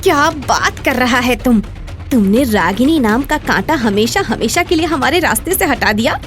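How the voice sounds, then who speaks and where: महिला कलाकार बनी ऊँची आवाज़ वाली चालबाज़ औरत. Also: female voice, fairly high pitch, expressive, normal speed, faint music behind, natural voice. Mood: gloating, spiteful. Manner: scornful, scolding. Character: scheming, spiteful female village dhaba owner. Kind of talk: dramatized Hindi moral story voice acting.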